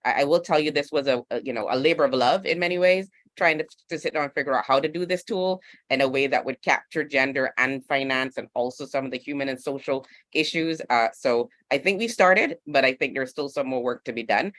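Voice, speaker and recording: A man talks in an unscripted panel discussion. His voice sits at an average pitch with very little room sound. He is conversational and thoughtful, peppering his points with "you know". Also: brisk, few pauses, a bit bright, dry recording. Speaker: panel speaker.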